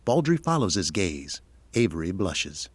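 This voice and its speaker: A man's voice: low-pitched, sing-song, unhurried, husky, no echo. A man delivering scripted narration for an audio drama, setting each scene.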